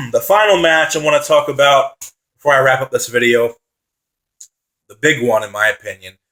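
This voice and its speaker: A young man giving casual football reaction commentary on his own channel, enthusiastic and repeating phrases for emphasis, his voice dry and slightly raspy from talking so long. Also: medium pitch, wildly swooping pitch, normal speed, bright, forceful tone. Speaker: football vlogger.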